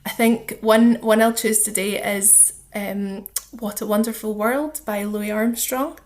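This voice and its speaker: A woman's voice: medium pitch, steady tone, a little slow. A woman speaking as a charity officer and interview guest, explaining things in an earnest, conversational way.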